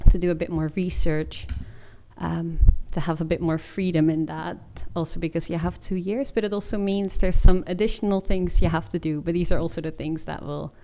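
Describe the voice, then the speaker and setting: A woman's voice: lowish voice, fairly even intonation, normal speed, warm tone. A woman in her twenties giving an informative presentation in an informal, explanatory way. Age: 20s. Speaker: master's student presenter.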